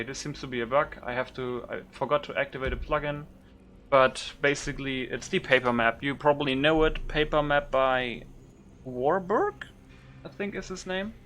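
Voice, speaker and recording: Male gaming YouTuber, mid-pitched, informal and unscripted, thinking aloud over faint background noise. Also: unhurried, pauses often, fairly bright tone. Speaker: gaming YouTuber showing a modded game. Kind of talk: casual unscripted game commentary.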